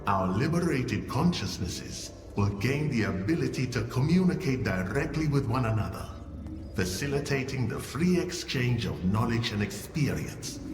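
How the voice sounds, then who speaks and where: An actor playing a solemn visionary leader in a video game, speaking formally and grandly like a prophet of immortality. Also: male voice, medium pitch, sing-song, moderate pace, recorded from a distance, natural voice. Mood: solemn, prophetic. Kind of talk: video game cutscene acting. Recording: echoey hall from afar, music behind.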